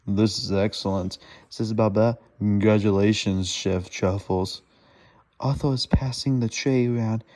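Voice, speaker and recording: A man reads a children's storybook aloud haltingly, stumbling over words and correcting himself as he goes. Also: lowish voice, steady tone, a little mellow. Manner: stumbling, laboured reading with self-corrections.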